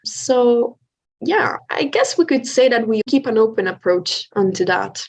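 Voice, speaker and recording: A woman's voice: fairly high pitch, expressive, unhurried, creaky. Swiss-woman co-hosting a podcast. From Italian-speaking Switzerland. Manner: earnest, explanatory, with some hesitations. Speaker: podcast co-host. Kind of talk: casual podcast chat.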